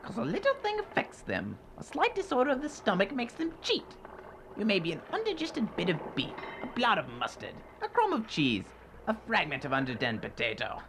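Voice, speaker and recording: A man playing a miserly, grumpy old man in a student radio drama, scornful, gruff and easily spooked. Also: on the high side, big pitch swings, moderate pace, noisy background. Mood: wary, defiant. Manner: gruff, irritable, declamatory.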